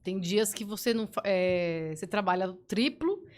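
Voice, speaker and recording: A woman guest on a podcast, telling work stories in a casual, lively way with frequent restarts. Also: medium pitch, moderate pace. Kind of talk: casual storytelling.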